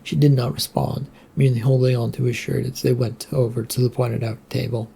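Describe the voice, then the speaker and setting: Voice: mid-range voice, level tone, brisk, gravelly, dark tone, a little hiss or hum. A person reading a fantasy story aloud as scripted narration.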